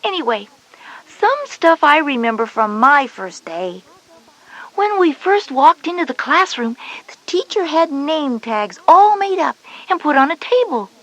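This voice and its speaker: A woman's voice: high-pitched, sing-song, a little slow, a bit bright, exaggerated voice, rough recording. A woman playing a knowing, slightly bossy older-sister schoolgirl, explaining things emphatically in an educational audio story. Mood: helpful, chatty.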